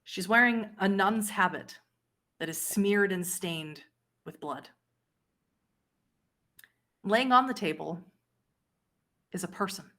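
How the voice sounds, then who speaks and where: A woman running a horror tabletop game as game master, narrating in a deliberate, dramatic way. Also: medium pitch, a bit bright. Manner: deliberate, dramatic scene-setting with pauses.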